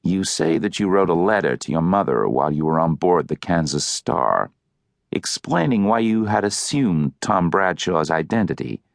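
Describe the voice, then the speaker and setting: A man voicing a shrewd elderly senior lawyer who questions formally and deliberately, in an audiobook novel. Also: sits low, expressive, gravelly, no room sound, studio quality, voice pushed down. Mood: coolly probing.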